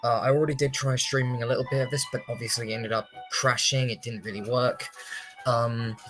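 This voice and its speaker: A man's voice: mid-range voice, flat delivery, a little fast, fairly bright tone. A young man giving casual, rambling, hesitant commentary on a game livestream. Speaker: game streamer. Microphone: streaming microphone, also picking up game audio. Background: faint game music.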